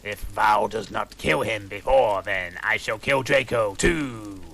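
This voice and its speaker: A man voicing an evil male dark lord who shouts threats in mock-archaic speech with a raspy voice. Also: lowish voice, slow pace, full character voice. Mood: menacing.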